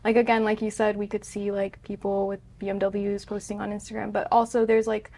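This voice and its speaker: A woman's voice: medium pitch, a little fast. A young woman speaking as a student guest on a discussion show, casual and peppered with "like". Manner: even, heavy use of "like".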